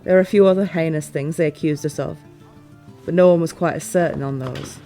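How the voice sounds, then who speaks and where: A woman playing a teasing, playful fantasy ruler in a scripted audio roleplay, with formal, expressive phrasing. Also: sits low, fairly quick, soft background music. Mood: reflective, candid.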